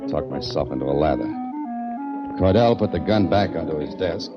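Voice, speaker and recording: Deep-voiced hard-boiled radio hero. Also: male voice, lively intonation, a little fast, loud music behind the voice. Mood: tense narrating. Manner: terse, hard-boiled, urgent in dialogue. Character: tough wry hero, a framed man on the run. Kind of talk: 1940s radio mystery acting and first-person narration. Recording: dull vintage 1940s radio recording.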